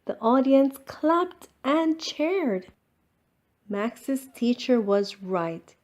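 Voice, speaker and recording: A woman reading a children's storybook aloud as scripted narration, expressive and drawing out words. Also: sits high, extremely slow, highs cut off. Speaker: storybook reader.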